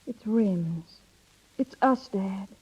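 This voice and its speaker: An actress playing an earnest, anxious young wife, emotional and halting, in a 1930s radio drama. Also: female voice, medium pitch, darkish tone, slightly rough, heavily acted. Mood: troubled. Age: young adult. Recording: dull vintage 1930s radio recording.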